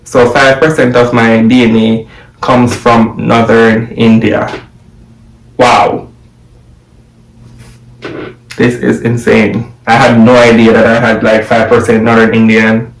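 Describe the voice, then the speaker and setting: A young man from Jamaica, talking excitedly to camera from a home office and repeating the numbers he reads out. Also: mid-range voice, takes it slowly, pauses often, distant mic. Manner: excited, exclaiming, repeating figures. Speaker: vlogger. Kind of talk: casual video commentary. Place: home office room, indoors. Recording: echoey room, voice from afar.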